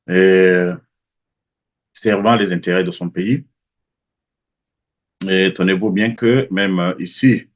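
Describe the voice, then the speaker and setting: Man, guest analyst, low voice, formal, measured, muffled sound. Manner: measured, formal, self-correcting. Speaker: guest analyst, former lawmaker and diplomat. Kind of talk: political analysis interview.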